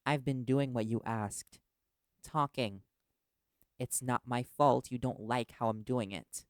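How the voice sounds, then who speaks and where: A man's voice: mid-range voice, fairly even intonation, takes it slowly, clean tone, no echo, lightly acted. A man playing a stubborn, wary male reporter held captive in an audio drama, speaking in a hesitant yet defiant way. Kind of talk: audio roleplay drama acting.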